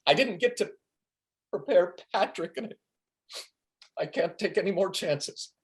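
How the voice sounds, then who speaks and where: A woman's voice: lowish voice, expressive, unhurried. Woman playing brash, foul-mouthed schemer, wildly swooping delivery. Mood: sardonic, menacing. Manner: sarcastic, profane, blustering. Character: Steve, brash, foul-mouthed, paranoid, grieving male schemer. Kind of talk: comic script table reading. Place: remote video call.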